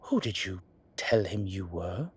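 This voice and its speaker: Man as a husky, exasperated vampire. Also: low voice, big pitch swings, a little slow, full character voice. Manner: scolding, incredulous, sardonic. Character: exasperated, protective male vampire, dry-witted and world-weary. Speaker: voice actor. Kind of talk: ASMR roleplay audio drama acting.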